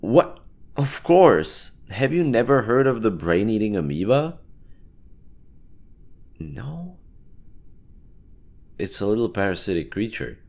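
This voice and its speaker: Man voicing a smug, low-pitched parasite creature. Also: sing-song, slow, pauses often, darkish tone, exaggerated voice. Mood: teasing, incredulous. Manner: casual, teasing, conversational. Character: snarky, smug talking brain-eating amoeba parasite. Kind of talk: audio roleplay monologue to the listener.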